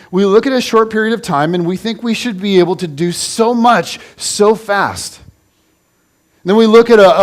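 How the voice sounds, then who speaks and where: A man in his forties preaches in a church in a chatty, joking way. His voice sits fairly high for a man. He often restarts his sentences and teases the congregation as he goes. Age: 40s. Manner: conversational and joking, with many restarts. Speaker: pastor. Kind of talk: casual sermon.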